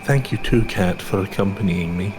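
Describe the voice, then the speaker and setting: A man's voice: sits low, normal speed, natural voice. A man playing a polite, earnest young adventurer from a video game, formal and humbly reflective. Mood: grateful. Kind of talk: video game dialogue read aloud in character. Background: game music.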